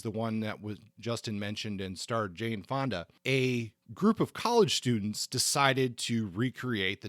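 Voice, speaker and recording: A man co-hosting a podcast, explaining history in a conversational way, often halting and repeating words. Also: mid-range voice, dry recording, clean studio sound. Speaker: podcast co-host.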